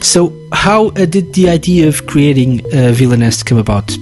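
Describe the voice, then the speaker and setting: Giggly, lively-voiced male podcast host. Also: fairly high pitch, moderate pace, faint music behind. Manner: giggly, chatty, lots of fillers. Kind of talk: casual podcast interview.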